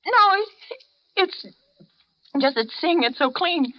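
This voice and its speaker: An actress playing a frightened, trapped American spinster in a 1940s radio suspense play, breathless, faltering and pleading. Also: female voice, very high-pitched, animated, slow, heavily acted. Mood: nervous, evasive. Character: terrified, trapped middle-aged spinster keeping a diary. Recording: muffled 1940s radio recording, faint music.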